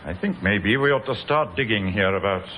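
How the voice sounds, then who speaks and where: An actor playing a dogged, dry police inspector in an old radio drama, clipped and insistent. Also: male voice, on the low side, lively intonation, moderate pace, lo-fi sound, lightly acted. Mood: dogged, thoughtful. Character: dogged, dry British police inspector, middle-aged man. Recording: noisy old radio recording.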